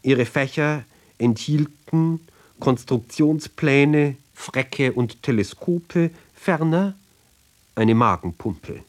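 Slow, deliberate man reading poetry. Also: mid-range voice, animated. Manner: deliberate, measured phrasing with pauses between short fragments. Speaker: reader of literary poems. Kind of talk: literary reading of narrative poetry with short spoken introductions.

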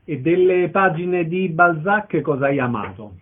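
A man with a fairly high voice hosts a radio programme, introducing guests, asking questions and reading out event details. The sound is thin and narrow, like a telephone line. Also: animated, unhurried, breathy edge. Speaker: radio host, interviewer. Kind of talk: radio interview and event announcements.

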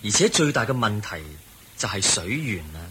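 A calm, low-voiced Buddha. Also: male voice, slight background noise. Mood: kindly, guiding. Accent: Hong Kong Cantonese. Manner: measured, patient explaining. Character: the Buddha, calm kindly male wandering monk and teacher. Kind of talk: Cantonese radio drama acting.

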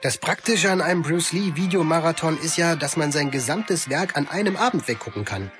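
An actor playing a chatty, casual friend at a video night in scripted radio play dialogue. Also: male voice, fairly high pitch, expressive, brisk, lightly acted. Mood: casual, breezy. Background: loud music.

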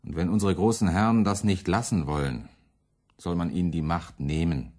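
An actor in a German radio play voicing a fiery radical preacher who champions peasants with biting, accusatory rhetoric and scorn. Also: male voice, deep voice, moderate pace, darkish tone. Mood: urgent, defiant.

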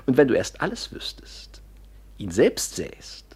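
A man's voice: mid-range voice, wildly swooping pitch, unhurried, breathy edge, whispered, heavily acted. An actor playing a scheming, honey-tongued younger nobleman in a theatrical, insinuating manner in a classic German radio drama. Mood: insinuating, cruel. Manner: theatrical, insinuating, swinging from hushed to exclaiming.

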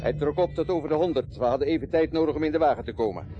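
An actor playing an urgent police patrol officer in a radio drama, reporting in clipped, pressing radio phrases. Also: male voice, a little high, rapid-fire, muffled recording, music in the background, close to an everyday voice.

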